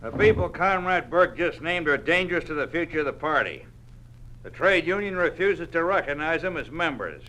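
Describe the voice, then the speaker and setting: An actor playing a blunt, menacing trade union boss with a tough, folksy American manner of speech in a 1950s radio drama. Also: male voice, a little high, lively intonation, moderate pace, bright, forceful tone. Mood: cold, commanding. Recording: noisy old radio recording.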